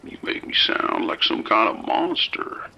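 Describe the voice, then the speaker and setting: A man playing a calm, soft-spoken abductor in an audio drama, with a gravelly, whispery voice and a slow, coaxing manner. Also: muffled recording, a little hiss or hum, close to an everyday voice. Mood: creepy whisper.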